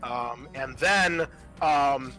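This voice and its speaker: A man works as game master for a tabletop horror game, his voice bright and forward. He talks informally, reasoning through rules aloud between bits of narration. Faint music sits behind him. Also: medium pitch, very slow.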